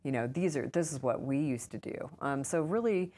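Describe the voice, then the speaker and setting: A woman's voice: low voice, normal speed, mellow. A woman answering as an interview guest in an informal, conversational way, telling anecdotes and voicing little quotes as she goes. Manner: informal, acts out quoted remarks. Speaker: interview guest, author and urban farmer.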